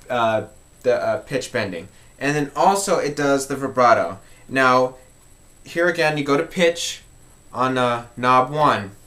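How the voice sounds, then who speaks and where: A man's voice: mid-range voice, pushed and bright. A man hosting an informal music tutorial, explaining step by step in a conversational way and repeating key points.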